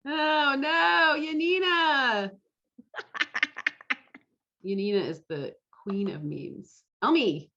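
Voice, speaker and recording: A woman's voice: high-pitched, lively intonation, very slow, vocal fry, pauses often, bright, forceful tone, lo-fi sound. A woman casually hosting an online group meeting, chatting and reacting to guests over a video call. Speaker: host of an online fan club meeting.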